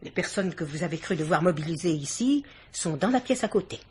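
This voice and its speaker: An actress playing a dignified, guarded middle-aged guardian aunt in a French radio mystery play, speaking with polite haughtiness. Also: female voice, on the low side, lively intonation, a little fast, a little mellow, slight background noise, close to an everyday voice. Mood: guarded, offended. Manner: polite, haughty, carefully articulated indignation.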